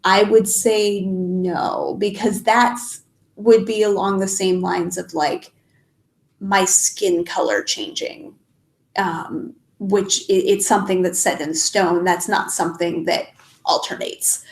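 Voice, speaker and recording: A young woman talks on a live stream at her usual middle pitch, her nose blocked and sniffly. She pauses often with ums and restarts her sentences. Her voice carries a clear echo from the room around her. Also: steady tone. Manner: hesitant, many ums and restarts. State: stuffed up and sniffly. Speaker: YouTuber answering live chat. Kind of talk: casual live stream chat. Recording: echoey room.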